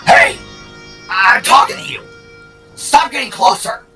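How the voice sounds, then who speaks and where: A man voicing a cocky, cowardly cyborg shark villain from an audio story, ranting in a strained, gravelly rasp. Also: very high voice, huge pitch swings, a little slow, bright, forceful tone, soft background music, pitched above the performer's own voice, full character voice. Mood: agitated, uneasy. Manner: ranting, exclamatory, theatrical. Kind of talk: dramatic story character acting.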